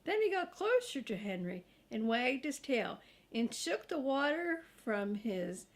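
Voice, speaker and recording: Older woman, storybook read-aloud, lively swooping intonation, slow pace, grandmotherly, chatty with young listeners. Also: high-pitched. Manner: expressive, emphasizes and draws out words for children. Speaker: grandmother reading a children's book aloud.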